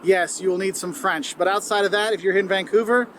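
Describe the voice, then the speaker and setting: A man's voice: sits high, fairly even intonation, fairly quick, fairly bright tone, a little hiss or hum. A man from the United States talking to viewers in a vlog, giving upbeat, direct tips. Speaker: video creator giving tips.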